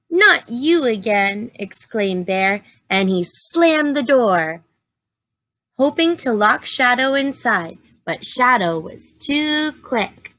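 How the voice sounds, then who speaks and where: Bright, slow-paced woman reading to children. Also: a little high, no echo, poor audio. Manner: expressive, deliberate, explains and asks simple questions. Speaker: teacher reading aloud to young children. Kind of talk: children's storybook read-aloud with asides and questions to listeners.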